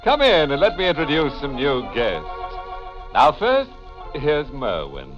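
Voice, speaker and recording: A man's voice: a little high, huge pitch swings, unhurried, music in the background. An actor playing a gleefully morbid horror host in 1940s radio style, sly and mock-genial as he drawls. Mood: gleefully macabre. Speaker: horror show host and narrator. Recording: muffled old 1940s radio recording.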